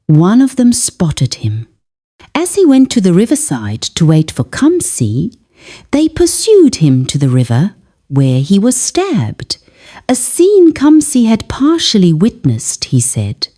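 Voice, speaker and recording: A woman narrating a scripted folktale in a measured, dramatic storytelling manner. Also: mid-range voice, dark tone.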